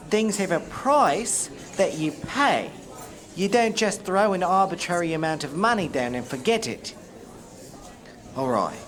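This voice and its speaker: An actor plays a tavern barman in a fantasy audio drama who talks to customers in a blunt, businesslike way. His delivery is plain and steady, at a middle pitch. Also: natural voice. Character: gruff tavern barman, adult.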